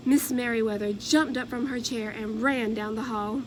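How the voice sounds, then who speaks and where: Higher-voiced woman reading a storybook aloud. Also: steady tone, moderate pace, noisy background. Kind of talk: storybook read-aloud narration.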